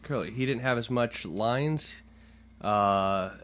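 A man co-hosting a casual podcast, talking louder than his partner and joking with plenty of swearing. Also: medium pitch, takes it slowly. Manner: louder, leans into the mic, joking and profane. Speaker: podcast co-host. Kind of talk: casual chat.

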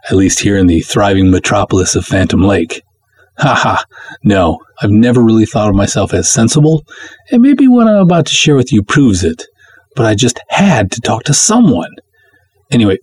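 A man's voice: on the low side, big pitch swings, moderate pace, a little mellow, breathy edge. A man reading a first-person serial story as a chatty, wry woman confiding in a friend.

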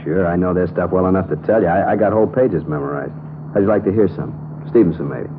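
Deep-voiced bitter killer, played by a man, old radio. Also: fast, lightly acted. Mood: boastful. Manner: blunt, slangy, boastful talk. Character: bitter, boastful, cornered wife-killer, unravelling. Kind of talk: old-time radio crime drama acting. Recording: noisy, tinny old radio recording.

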